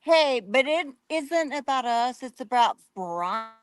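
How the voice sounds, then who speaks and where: Playful high-voiced woman joining a stream. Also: animated, takes it slowly. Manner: playful, bubbly banter. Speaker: guest joining a live stream. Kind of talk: casual group chat. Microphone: phone on a video call.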